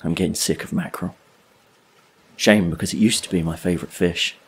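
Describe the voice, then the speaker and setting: Wistful male loner narrating an audio play, reflective and wry, slightly deep husky voice. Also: fairly even intonation, brisk. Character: wistful, solitary male drifter telling his story. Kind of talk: dramatic monologue in an audio play.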